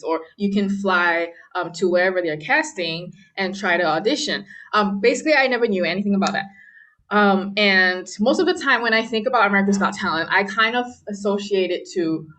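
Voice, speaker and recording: A young woman from Malaysia tells her story as an interview guest. She talks in a relaxed, chatty way, full of "um" and "you know", and laughs now and then. Her pitch sits in the middle range. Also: moderate pace. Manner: chatty, many fillers, laughs often. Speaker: guest pianist. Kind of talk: casual interview talk.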